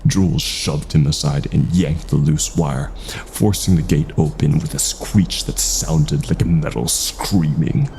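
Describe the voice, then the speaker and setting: Man, very low voice, dark tone, slow suspenseful horror narration, faint background noise. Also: fairly even intonation, vocal fry. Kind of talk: dramatic scripted horror narration.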